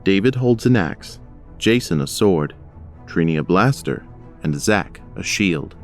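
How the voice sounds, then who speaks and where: A man narrating a scripted audio drama in a measured, descriptive way. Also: low-pitched, lively intonation, faint music behind.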